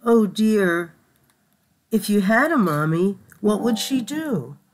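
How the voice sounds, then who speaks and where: A woman voicing a kind, motherly female bear in a storybook, gentle and comforting. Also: medium pitch, sing-song, takes it slowly, a little hiss or hum. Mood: sympathetic. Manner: gentle, soothing.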